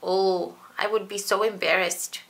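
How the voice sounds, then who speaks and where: A woman's voice: medium pitch, fairly even intonation, unhurried, creaky, a bit bright. A woman voicing an eager, boastful little blue male monster in a storybook read-aloud. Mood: proud.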